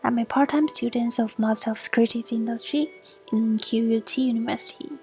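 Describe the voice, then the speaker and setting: Soft-spoken young Chinese woman, flat delivery. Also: on the high side, soft background music. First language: Mandarin. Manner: halting, with frequent fillers and soft, partly whispered stretches. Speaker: student presenting her work. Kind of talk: recorded assessment presentation. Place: at home. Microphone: basic home equipment.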